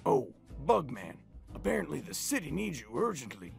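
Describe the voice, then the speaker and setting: Casting: male actor as brash cartoon podcaster, very gravelly, lively, slightly high. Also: normal speed, music in the background, exaggerated voice. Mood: casual. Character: brash, laid-back male podcast host. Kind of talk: animated parody of podcast interviewing.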